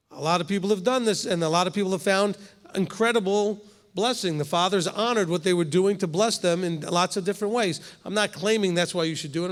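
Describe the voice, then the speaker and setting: A man's voice: high voice, in a large hall. A man in his fifties, a rabbi leading a congregation's Torah study in a conversational way, often checking in with "okay?". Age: 50s. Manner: conversational, keeps asking "okay?". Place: congregation hall.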